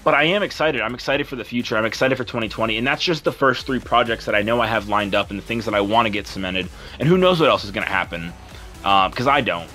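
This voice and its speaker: A young man with a deep voice talking over gameplay in a rambling, earnest, apologetic way as a content creator. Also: pushed and bright. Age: young adult. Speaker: YouTuber and streamer.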